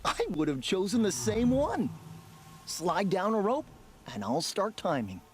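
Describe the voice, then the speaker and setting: A high-pitched, animated eccentric hunter. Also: male voice, measured pace, a little hiss or hum, heavily acted. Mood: eager, instructive. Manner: chattering, eccentric, theatrical. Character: quirky, chatty, self-conscious outcast hunter running trials. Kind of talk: video game character acting. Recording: game music behind the voice. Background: game music.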